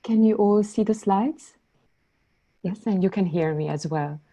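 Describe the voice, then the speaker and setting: A woman's voice: medium pitch, measured pace, dark tone. A woman researcher from Hungary presenting her paper at an online conference, speaking composedly and fluently. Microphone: computer mic on a video call.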